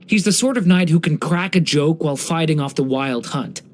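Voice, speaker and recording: A man voicing a young dragon boy in human form for a video game, speaking gently, politely and earnestly, like someone telling a story. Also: on the high side, normal speed, close to an everyday voice. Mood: gentle, earnest. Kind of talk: English video game character voice lines.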